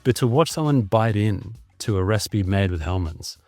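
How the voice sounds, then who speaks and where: A man's voice: lowish voice, expressive, moderate pace, darkish tone, no room sound, faint music behind. A man with an Australian accent, a guest on a podcast, explaining fluently in a casual conversation over a video call.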